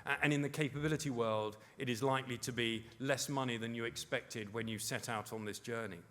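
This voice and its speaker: Middle-aged man, medium pitch, deliberate panel speaker wrapping up his talk. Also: fairly even intonation, a bit bright. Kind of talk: conference presentation. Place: conference hall.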